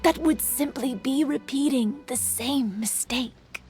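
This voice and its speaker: A woman's voice: high voice, takes it slowly, darkish tone, faint music behind. Solemn, world-weary yokai woman. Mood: weary, defensive. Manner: solemn, reflective, breathy phrase endings. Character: fading female yokai spirit, world-weary, sorrowful storyteller. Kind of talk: video game storytelling.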